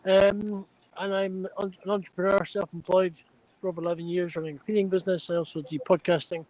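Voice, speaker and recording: A chatty Scottish man introducing himself casually and a little haltingly as a livestream guest. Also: high-pitched, level tone, darkish tone. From Scotland. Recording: dull lo-fi stream audio.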